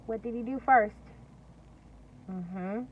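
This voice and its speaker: A woman teacher reading a story aloud to young children, emphatic and singsong, pausing to ask questions. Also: fairly high pitch. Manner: singsong, emphatic, asks questions and pauses for answers. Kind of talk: interactive storybook read-aloud. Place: at home. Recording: distant mic, lo-fi, faint noise.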